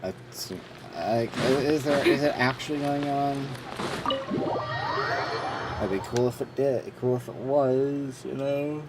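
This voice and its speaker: A man's voice: mid-range voice, steady tone, takes it slowly, very noisy. A man streaming a game, commenting casually in an offhand way, half muttering to himself. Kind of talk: casual livestream commentary on gameplay. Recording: game music behind the voice.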